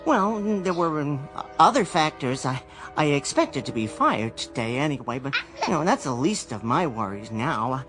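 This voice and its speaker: A man's voice: mid-range voice, sing-song, normal speed, fairly bright tone, faint music behind. An actor playing a timid, awkward American salesman in a radio drama, stammering, hesitant and rambling apologetically. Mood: nervous, apologetic.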